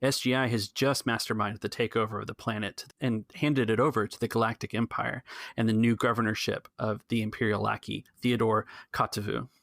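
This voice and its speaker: A man's voice: on the low side, flat delivery, fairly quick, vocal fry, fairly bright tone, no echo, studio quality. A man hosting a tabletop role-playing game as game master and narrator.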